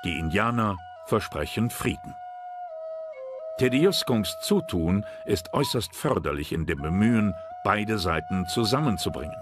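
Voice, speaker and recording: Deep-voiced male documentary narrator. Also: expressive. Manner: measured, formal. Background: background music.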